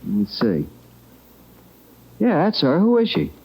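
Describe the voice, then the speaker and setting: A man's voice: on the high side, huge pitch swings, takes it slowly, mellow, husky, exaggerated voice. An American man playing a talkative, slangy lunch-counter man in a 1940s radio crime drama, folksy and eager to please. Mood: chatty, confiding.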